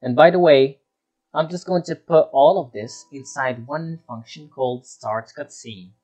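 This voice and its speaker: A man's voice: fairly high pitch, unhurried, a little mellow, dull audio. A man giving an unscripted screen-share tutorial, talking through each step as he works and often repeating himself. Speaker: tutorial presenter.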